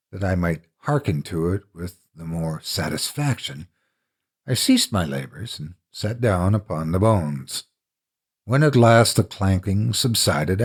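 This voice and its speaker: A man narrating a classic horror story in a theatrical, deliberate, dramatic manner. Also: lowish voice, a little mellow, husky.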